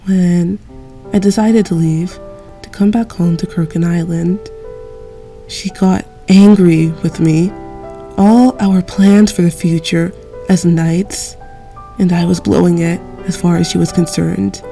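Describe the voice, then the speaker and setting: A woman voicing a grown former knight who mentors a younger friend, gentle and reflective, in a fan audio drama. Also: on the low side, steady tone, slow, gravelly, soft background music. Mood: wistful. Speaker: voice actor.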